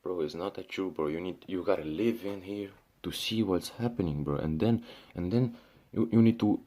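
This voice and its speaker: A man's voice: sits low, moderate pace, dark tone. A young man from Syria speaking in a casual ranting monologue, restarting often, swearing and calling the listener "bro". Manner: frequent restarts and fillers, swears, says "bro". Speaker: vlogger.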